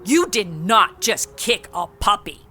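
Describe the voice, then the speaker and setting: Animated, sarcastic woman storyteller. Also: medium pitch, slow, heavy background noise. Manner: sarcastic, cheeky. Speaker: comedy podcast host and narrator. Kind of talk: conversational comedic narration and acting.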